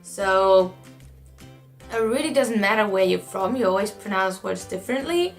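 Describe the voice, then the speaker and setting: A woman's voice: mid-range voice, lively intonation, normal speed, faint music behind. Earnest young German woman vlogging. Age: young adult. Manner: earnest, reflective, encouraging. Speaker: au pair vlogger. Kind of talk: casual talk to camera.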